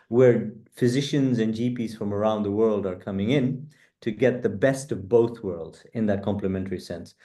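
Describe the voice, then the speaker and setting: A middle-aged man from the UK, answering interview questions in a measured, earnest way with frequent fillers. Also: lowish voice, warm tone. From UK, born in East Africa. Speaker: guest, coach.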